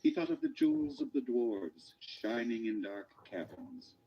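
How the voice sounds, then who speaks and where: A man's voice: medium pitch, huge pitch swings, measured pace, gravelly, lo-fi sound, slight background noise, full character voice. An actor playing a storybook narrator in a fantasy radio play, theatrical and savouring his phrases. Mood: evocative, dramatic.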